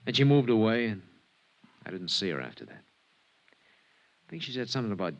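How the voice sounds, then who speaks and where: An actor playing a cool, guarded young jazz trumpeter in a 1950s radio drama, talking in clipped slang. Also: male voice, fairly low pitch, sing-song, normal speed. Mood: guarded, dismissive. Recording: muffled 1950s radio recording.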